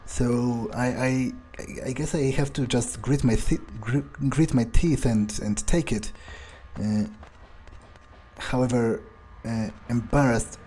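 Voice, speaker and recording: A man's voice: mid-range voice, takes it slowly, mellow, a little hiss or hum. A man improvising in-character commentary over a game, thinking aloud hesitantly, repeating words and swearing casually. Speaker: gamer doing in-character playthrough.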